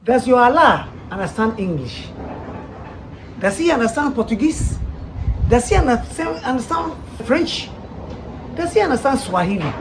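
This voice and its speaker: A woman's voice: on the high side, very animated, moderate pace, long pauses. A woman with a Ghanaian accent preaching, firing off repeated rhetorical questions and laughing between them. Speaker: street preacher. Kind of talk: preaching to listeners. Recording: noisy ambient background.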